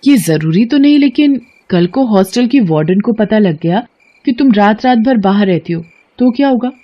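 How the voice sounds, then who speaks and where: A woman's voice: mid-range voice, lively intonation, moderate pace, muffled recording, lightly acted. A woman voicing an earnest, troubled young female student in a story, speaking emotively. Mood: worried, suspicious. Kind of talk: dramatized story dialogue.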